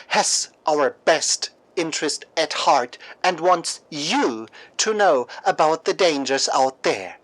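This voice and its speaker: A man narrating scripted radio drama and mock news as an anchor, in a theatrical, deliberate storytelling manner. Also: a little high, a bit bright, rough recording.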